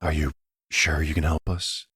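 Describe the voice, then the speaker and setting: A man's voice: rumbling low, flat delivery, normal speed, a bit bright, breathy edge, no echo, clean studio sound, exaggerated voice. A man playing a caring, slightly uneasy young boyfriend in a roleplay audio, tender, casual and hesitant. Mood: tender, concerned.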